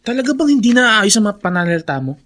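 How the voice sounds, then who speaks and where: An actor playing a kind, earnest young plantation master in a radio drama, polite and sincere. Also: male voice, high voice, animated, fast talker, a bit bright. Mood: firm. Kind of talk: Filipino radio drama acting.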